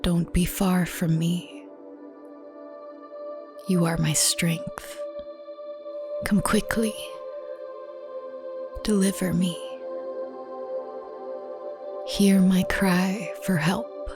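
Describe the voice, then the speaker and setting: A woman praying in a slow, breathy whisper. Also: lowish voice, fairly even intonation. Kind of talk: whispered prayer. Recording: soft music bed under voice. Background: new-age music.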